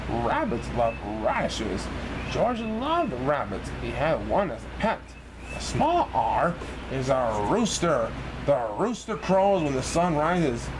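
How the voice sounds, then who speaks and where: A man's voice: on the high side, noisy background. A man reading a children's storybook aloud in an exaggerated sing-song way for young listeners.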